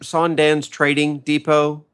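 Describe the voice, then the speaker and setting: A man's voice: a little high, completely flat delivery, slow, a bit bright, smooth. A man on a casual discussion panel, explaining his ideas with enthusiasm. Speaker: podcast panellist.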